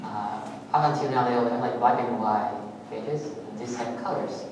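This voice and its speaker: A man from Vietnam, a native Vietnamese speaker, giving a technical talk at a meetup in a halting way, with many fillers and restarts. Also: medium pitch. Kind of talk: technical presentation with live demo. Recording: echoey hall, voice far from mic, audible noise.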